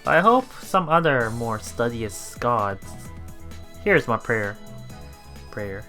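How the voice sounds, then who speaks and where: A man reading a visual novel aloud as a let's play streamer, haltingly, with repeats and self-corrections. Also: medium pitch, big pitch swings. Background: soft game music.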